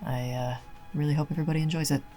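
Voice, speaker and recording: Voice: medium pitch, steady tone, rapid-fire, mellow. A person explaining a craft process in an informative talk. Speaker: book maker. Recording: music under the voice. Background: music.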